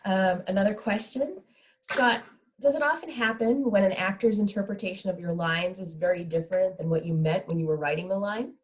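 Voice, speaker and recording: A woman's voice: medium pitch, fairly quick. A woman moderating a casual live question-and-answer session over a video call.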